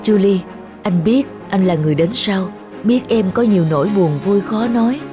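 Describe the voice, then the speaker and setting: An actor voicing a kind, earnest young man in a cartoon, with a gentle manner. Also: medium pitch, animated, normal speed, natural voice. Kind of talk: cartoon voice acting. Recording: music clearly behind the voice.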